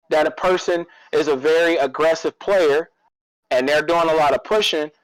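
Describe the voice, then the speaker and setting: A man's voice: fairly high pitch, no echo, rough recording. A man co-hosting a podcast in casual, opinionated talk, repeating phrases for emphasis. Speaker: podcast co-host.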